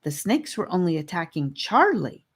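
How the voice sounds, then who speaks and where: A woman's voice: lowish voice, sing-song, moderate pace, breathy edge, full character voice. Lady doing a bold kid girl butting in, emphatic, kids storybook. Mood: correcting. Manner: interrupting, emphatic. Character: outspoken young girl, proud and corrective.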